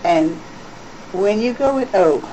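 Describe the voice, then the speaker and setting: A woman's voice: mid-range voice, lively intonation, a little slow, warm tone. Woman psychic giving someone advice one on one, kinda teachy and insistent, noisy old home tape. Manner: instructive, emphatic, repeats herself. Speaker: psychic giving a private reading. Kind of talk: one-to-one advice, casual and unscripted.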